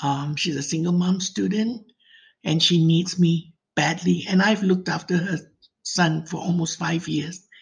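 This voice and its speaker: A woman's voice: fairly low pitch, gravelly, slightly rough. An older woman from Malaysia, leaving a worried, pleading voicemail. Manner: worried, pleading, rushed run-on telling. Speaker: voicemail caller. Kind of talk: phone voicemail. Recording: voicemail phone line.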